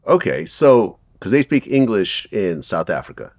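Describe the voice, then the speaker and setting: A man's voice: fairly low pitch, sing-song, moderate pace, darkish tone, dry recording. A middle-aged man hosting an interview, asking friendly conversational questions. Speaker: interview host.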